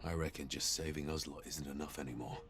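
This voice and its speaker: A gruff, loyal monster hunter from a fantasy game. His voice sits low, with a weary, caring temper. Prominent music plays underneath. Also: steady tone, fast. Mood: weary, concerned. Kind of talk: video game voice acting.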